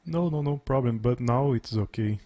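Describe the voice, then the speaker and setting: A man's voice: medium pitch, normal speed, warm tone. A man from Brazil whose first language is Portuguese, chatting in English on a practice call, friendly and repeating words. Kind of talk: casual conversation practice.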